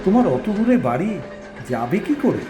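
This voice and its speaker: A man's voice: high voice, sing-song, fairly quick. A man playing a kindly, worried junior office colleague in a cartoon, deferential and solicitous. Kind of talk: Bengali cartoon voice acting. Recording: loud music bed over dialogue. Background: music.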